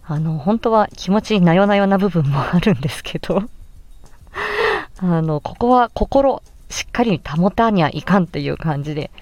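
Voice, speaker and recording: A woman hosting a casual solo podcast, chatting in an animated way with emphatic exclamations as she looks back on a project. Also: fairly low pitch, normal speed, dry recording. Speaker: podcast host and amateur voice actress. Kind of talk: casual solo chat looking back on an audio drama.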